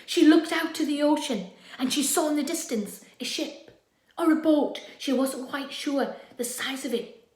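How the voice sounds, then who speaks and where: High-voiced, slow-paced woman storyteller. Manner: expressive, swinging from hushed to loud. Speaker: storyteller, primary school staff reading to children. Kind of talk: dramatic children's storytelling. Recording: echoey room.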